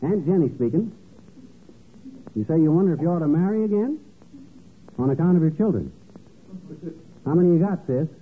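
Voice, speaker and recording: An actor playing a brash, boastful, wisecracking husband in a folksy, slangy, blustering manner, in a 1940s radio comedy. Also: male voice, on the high side, lively intonation, brisk, long pauses, husky. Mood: brash, breezy. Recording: tinny, noisy old radio broadcast.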